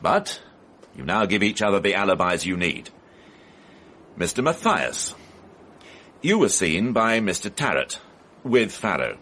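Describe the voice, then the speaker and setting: Over faint broadcast noise comes a male police inspector with a low voice and lively inflection. He is formal and precise, pressing steadily through his questions in classic radio mystery style. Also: unhurried, long pauses, exaggerated voice. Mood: accusing, measured. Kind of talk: radio drama acting.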